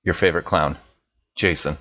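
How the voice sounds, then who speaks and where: A man playing a wary, chummy guy in his thirties, speaking a scripted personal message in an informal, measured way. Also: a bass voice, fairly even intonation, a bit bright, dull audio. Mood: uneasy, guarded. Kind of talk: scripted in-character monologue, conversational.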